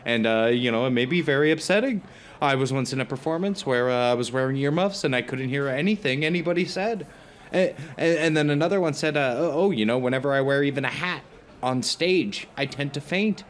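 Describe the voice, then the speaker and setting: A man plays a neurotic, hesitant actor chatting over dinner in an audio drama. His voice sits at a middle pitch, and he keeps stammering, hedging and starting over as he talks. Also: brisk, natural voice. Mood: nervous grievance. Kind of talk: conversational audio drama dialogue.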